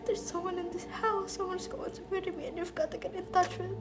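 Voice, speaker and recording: Young woman acting a high-pitched, frantic invalid woman. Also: fairly even intonation, quick pace. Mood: terrified, hushed. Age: young adult. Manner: melodramatic, imperious, breathless pleading. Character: frantic, demanding, self-pitying invalid woman alone at home. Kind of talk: amateur radio play acting. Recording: loud dramatic music behind voice.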